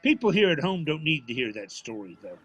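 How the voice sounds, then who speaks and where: A man's voice: fairly high pitch, sing-song, a little fast. An actor playing a stubborn, proud, plainspoken old father from a small town, folksy and prickly, in an audio drama. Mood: wry, prickly. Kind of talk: naturalistic audio drama acting at a live reading. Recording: faint noise of live stage performance.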